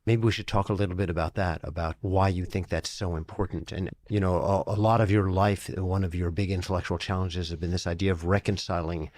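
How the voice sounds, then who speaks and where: Man, low pitch, dry close mic, interviewer, loose winding questions. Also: fairly even intonation, brisk, hardly any pauses, a little mellow, no room sound. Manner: rambling, builds questions with restarts. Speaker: interviewer, senior producer. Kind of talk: conversational interview.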